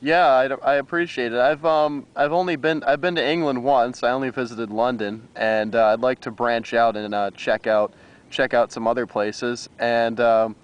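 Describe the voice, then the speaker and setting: A man's voice: mid-range voice, steady tone, moderate pace, clean tone, dull audio. An American man chatting casually as an amateur radio operator, with a hesitant, rambling manner. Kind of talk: casual radio contact chat.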